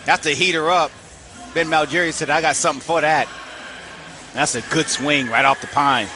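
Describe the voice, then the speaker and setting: A man, a former player, giving relaxed, folksy color commentary on a baseball radio broadcast. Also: on the high side, music in the background. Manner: folksy, conversational, a few halting restarts.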